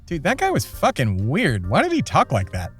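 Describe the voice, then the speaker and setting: Man as stoner sidekick, casual, incredulous, very high male pitch, lively intonation, comedy podcast. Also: normal speed, soft background music. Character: laid-back but incredulous stoner sidekick. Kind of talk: casual comedy audio drama.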